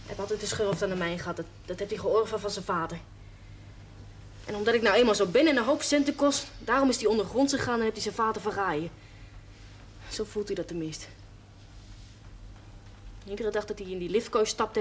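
An actress playing a blunt, passionate, defiant daughter in a television drama, speaking coarsely and emphatically. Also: female voice, mid-range voice, close to an everyday voice. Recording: faintly noisy old television soundtrack.

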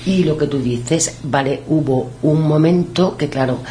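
A woman co-hosting a radio talk show, telling her experiences in animated fashion and agreeing often. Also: low voice, a little slow, a little hiss or hum. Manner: animated storytelling, many affirmations. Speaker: radio co-host. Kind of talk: casual radio discussion.

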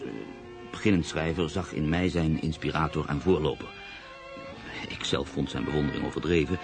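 An actor playing a cultured, calmly ironic journalist and writer in a Dutch radio drama, speaking in a measured, reflective, formal way. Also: male voice, very deep voice. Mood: wry, reflective. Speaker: lead actor and first-person narrator.

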